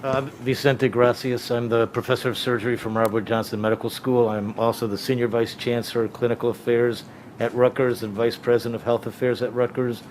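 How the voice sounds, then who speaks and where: A man with a very even, medium-pitched voice introduces himself as a surgeon at a roundtable. Faint room noise is audible behind him. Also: brisk, low-quality recording. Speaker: surgery professor and health executive.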